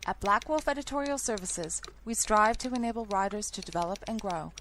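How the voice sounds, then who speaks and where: A woman reading a scripted advertisement in a soft, measured way. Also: medium pitch. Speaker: ad announcer. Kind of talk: scripted ad read. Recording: audible noise behind voice.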